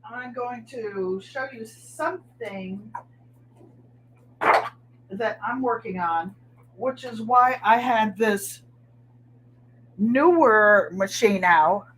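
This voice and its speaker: A middle-aged woman with a nasal New England twang hosts a live crafting chat. She talks in an easy, unhurried way, her voice sitting a little high, and calls out to viewers by name between demonstrations. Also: fairly bright tone, slight background noise, poor audio. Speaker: craft livestream host.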